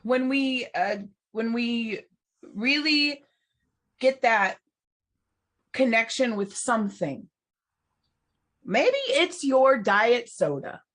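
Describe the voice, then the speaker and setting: A woman's voice: a little high, animated, slow, long pauses, a bit bright. A woman co-hosting a recovery podcast, talking reflectively with many restarts and laughing between thoughts. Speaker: podcast co-host. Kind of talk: casual chat on recovery and spirituality.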